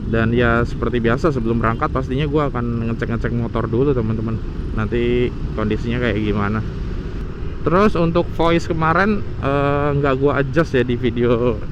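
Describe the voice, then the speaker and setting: A man's voice: mid-range voice, steady tone, moderate pace, dull audio. A man chatting casually and informally to viewers as he rides, in a rambling way, through a helmet intercom mic. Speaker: motovlogger. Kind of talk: casual chat while riding a motorbike. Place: outdoors on a motorbike in city traffic. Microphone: helmet intercom mic wired to action camera. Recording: loud road and engine noise, helmet intercom.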